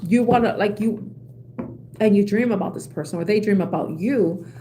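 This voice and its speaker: A woman's voice: medium pitch, normal speed, noisy background. Chatty woman reading tarot cards. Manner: chatty, laughs at own jokes, self-correcting. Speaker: tarot reader.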